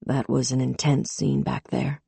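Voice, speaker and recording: A woman voicing a reserved, unsure young man who speaks hesitantly and softly in an audiobook. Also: low voice, normal speed, gravelly, breathy edge, no echo, full character voice. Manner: hesitant, soft-spoken.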